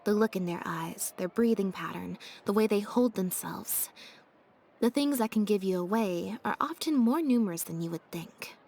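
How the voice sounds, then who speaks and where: A woman's voice: medium pitch, expressive, moderate pace, gravelly, darkish tone, slight background noise. An actress playing a shrewd, observant woman with a composed, articulate manner in a video game. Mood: probing. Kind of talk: English game dub dialogue.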